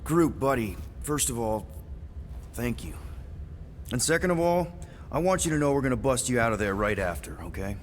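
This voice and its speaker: Actor as a breezy space outlaw, animated delivery. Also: male voice, mid-range voice, normal speed, long pauses, noisy background, close to an everyday voice. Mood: reassuring. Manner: breezy, casual, glib. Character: easygoing, overconfident young male space outlaw leader. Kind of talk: video game voice acting.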